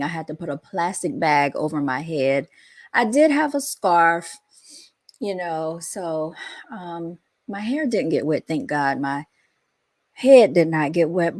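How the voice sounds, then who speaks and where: Slow-talking woman with a scratchy voice. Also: fairly low pitch, dry recording. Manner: chatty, drawn-out, talks straight to viewers. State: scratchy, under the weather. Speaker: host and founder of the channel. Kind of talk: casual chat to viewers.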